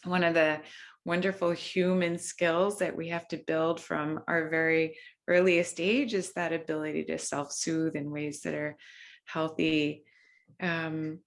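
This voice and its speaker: Soft-spoken woman with a gravelly edge. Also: deep voice, level tone. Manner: soft, unhurried, with frequent ums and restarts. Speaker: workshop facilitator. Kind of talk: gentle guided mindfulness talk.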